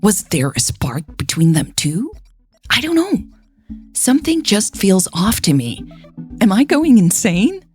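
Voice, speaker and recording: A woman's voice: mid-range voice, very animated, a little slow, darkish tone, faint music behind. A woman voicing a puzzled, earnest fan posting on an online forum, in a chatty, questioning way. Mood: bewildered.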